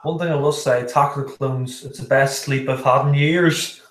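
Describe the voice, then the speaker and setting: A man's voice: mid-range voice, steady tone, normal speed, echoey room. A man giving casual fan commentary on a movie, mocking and swearing, often repeating words as he talks.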